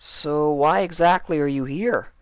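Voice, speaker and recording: A man's voice: fairly high pitch, unhurried, no echo, voice pushed up, lightly acted. A man voicing an earnest young baby dragon boy in a fanfiction story, worried and plain-spoken. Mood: inquiring.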